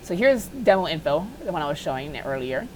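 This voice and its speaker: A woman's voice: low-pitched, big pitch swings, brisk, a little mellow, slight background noise. A woman giving an informal, unscripted tutorial, talking offhandedly and thinking aloud as she goes. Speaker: tutorial host. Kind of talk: casual unscripted software modding tutorial.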